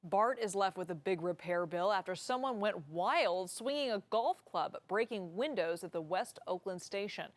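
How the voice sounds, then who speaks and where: A woman's voice: medium pitch, animated, moderate pace, hardly any pauses, no echo. Female American news anchor, dry studio sound. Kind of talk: scripted news reading.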